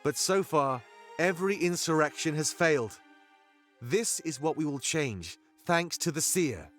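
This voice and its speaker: An actor playing a fervent rebel leader in a fantasy video game, giving grandiose, oratorical speeches. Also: male voice, fairly high pitch, expressive, a little slow, fairly bright tone. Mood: earnest, rousing. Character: fervent male rebel leader, an anthropomorphic animal rallying his fighters. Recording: music behind the voice. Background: music.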